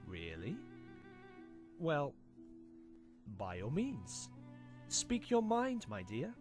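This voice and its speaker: A woman playing a suave, menacing, possessive sorcerer villain in an animated fan drama, with a silky theatrical delivery. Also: deep voice, extremely slow, heavily acted. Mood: silky, controlled. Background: music.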